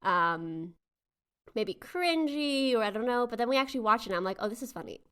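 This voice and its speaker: A woman's voice: a little high, expressive, fairly quick, pushed and bright, clear, resonant tone. A woman co-host chatting casually and informally, often acting out imagined reactions. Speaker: podcast co-host. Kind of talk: casual chat.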